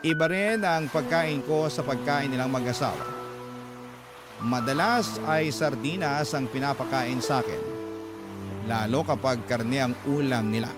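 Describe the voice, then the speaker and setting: A man's voice: mid-range voice, unhurried. Filipino male drama narrator over music. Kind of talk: first-person dramatic letter narration. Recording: music bed behind the voice. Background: background music.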